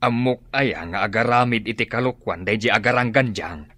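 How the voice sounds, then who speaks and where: A man's voice: on the low side, normal speed, sharp tone, dry recording. A man playing a hapless, idle, grumbling father in a radio drama, muttering and grunting between phrases. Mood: troubled, defensive. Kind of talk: Ilocano radio drama acting.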